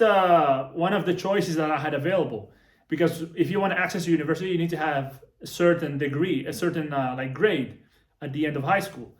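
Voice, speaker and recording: Guy from morocco vlogging his story, kinda high voice, echoey room, loose and repetitive. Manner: casual, repeats himself, swears, laughs between phrases. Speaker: vlogger, coach. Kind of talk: casual storytelling to camera.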